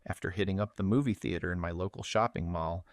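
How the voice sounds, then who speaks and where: A man's voice: sits low, fast, dry recording, clean studio sound. A middle-aged man hosting a casual show-and-tell vlog, narrating in an informal way. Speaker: video host.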